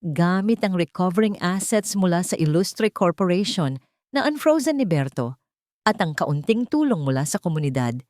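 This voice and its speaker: Female audio drama narrator, slightly low pitch, dry sound, scripted first-person storytelling. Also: darkish tone, no echo.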